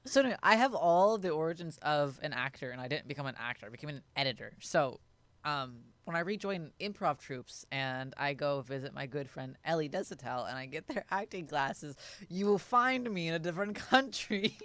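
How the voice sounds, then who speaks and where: A man co-hosting a podcast who works as an editor, chatting in an animated, emphatic way with quick asides. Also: fairly high pitch, no echo. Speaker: podcast co-host and video editor. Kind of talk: casual chat.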